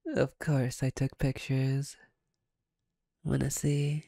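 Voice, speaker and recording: A woman's voice: extremely low pitch, flat delivery, slow, creaky, dark tone, no room sound, clean studio sound, exaggerated voice. A woman playing a playful, domineering female vampire captor in an intimate ASMR roleplay, teasing and sardonic.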